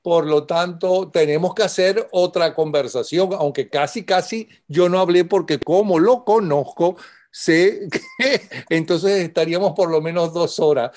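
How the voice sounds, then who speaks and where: Male interview host, slightly high voice, brisk and interjecting. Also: darkish tone. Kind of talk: interview conversation.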